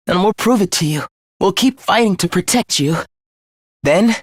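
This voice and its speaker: A man's voice: sits high, no room sound, studio quality. An actor voicing an obsessive young man in a video game, devoted to his comrade as to a god, with theatrical, intense, florid delivery. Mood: reassuring, reverent. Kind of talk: English video game voice acting, dramatic monologue.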